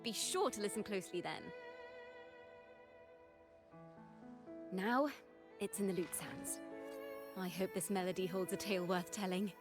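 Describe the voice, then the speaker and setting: A young female musician-guide played by an actress speaks in a medium-pitched voice. She is gentle, poetic and thoughtful, in polished game dialogue laid over clearly audible music. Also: moderate pace, natural voice. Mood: gently playful. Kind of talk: video game voice acting.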